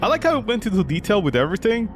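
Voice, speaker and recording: A man with a high voice delivers game commentary over faint music. His pitch swings widely as he reads story text aloud and picks it apart. His manner is mocking and incredulous. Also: very fast. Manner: incredulous, animated, mocking reactions. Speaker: video game streamer. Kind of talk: gameplay commentary, reading visual novel text aloud and reacting.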